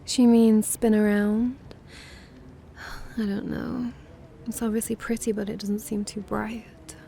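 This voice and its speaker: A woman's voice: mid-range voice, little pitch movement, slow pace, creaky, dark tone, slight background noise. A woman playing a playful, condescending vampire mistress who looks young, drawling languidly in an ASMR roleplay. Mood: appraising.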